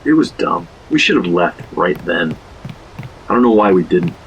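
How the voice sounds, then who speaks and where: A man's voice: low voice, big pitch swings, moderate pace, muffled recording, a little hiss or hum. An actor playing a haunted young man, narrating an eerie horror audio drama in a brooding, confessional way. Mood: regretful.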